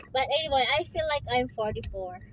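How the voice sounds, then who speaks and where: A woman's voice: medium pitch, measured pace. A woman in her forties with a Filipino accent and Tagalog as her first language, chatting casually to viewers in a rambling, giggly, self-questioning way. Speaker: vlogger. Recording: muffled, loud vehicle and road noise.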